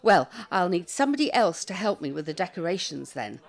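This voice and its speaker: A British actress playing a fussy, chirpy elderly care-home resident in an audio drama, brisk and theatrical. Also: female voice, lowish voice, sing-song, gravelly. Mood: fussy, exasperated.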